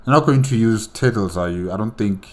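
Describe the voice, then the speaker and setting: A man casually reacting to a story for his viewers, excitable, laughing often and tripping over his words. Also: on the low side, brisk. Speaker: reaction video host.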